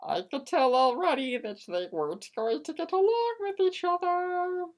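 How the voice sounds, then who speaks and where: A woman's voice: very high-pitched, lively intonation, normal speed. A woman narrating a first-person story as a theatrical dramatic reading.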